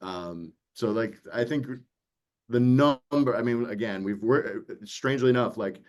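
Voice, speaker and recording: A man talking casually as a producer on a panel, animated and acting out his own remarks as he tells stories. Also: medium pitch, measured pace. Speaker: audiobook producer and interview guest.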